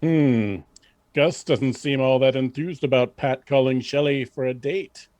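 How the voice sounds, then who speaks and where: A man's voice: mid-range voice, measured pace, darkish tone, no room sound. A man narrating and announcing a radio drama in a scripted, theatrical serial style.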